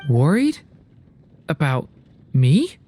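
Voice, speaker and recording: A woman voicing a gentle, earnest young boy in a video game, soft-spoken, hesitant and sincere. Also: low-pitched, sing-song, extremely slow, a little hiss or hum, exaggerated voice. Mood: apologetic, touched.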